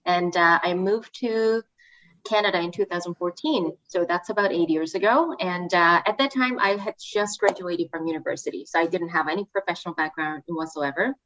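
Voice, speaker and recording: Woman, low voice, chatty guest with fillers, dull lo-fi sound. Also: fairly bright tone. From Korea. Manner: friendly, chatty, many fillers. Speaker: guest, student recruitment manager. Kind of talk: podcast interview.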